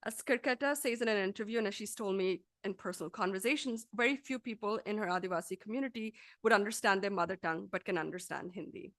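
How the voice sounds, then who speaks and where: A woman lecturer reading a scripted academic talk aloud in a formal, measured, articulate manner. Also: medium pitch, fairly bright tone, no room sound.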